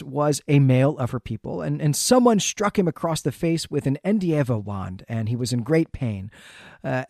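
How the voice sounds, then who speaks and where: A man's voice: mid-range voice, a little mellow, no echo, clean studio sound. Male podcast host, careful and explanatory. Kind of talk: conversational literary recap and discussion.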